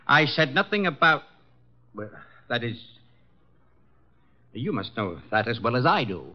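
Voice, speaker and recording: Uneasy, evasive visitor: hesitant, middle register, muffled 1950s radio sound. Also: male voice, mid-range voice, big pitch swings, unhurried. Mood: guarded. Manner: guarded, hesitant. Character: evasive, uneasy male client posing as pianist. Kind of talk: 1950s radio drama acting.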